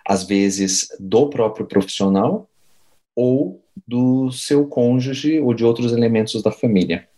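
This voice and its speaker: A man's voice: mid-range voice, slow pace, dark tone. A man interviewing a guest, asking long, winding questions with many hesitations.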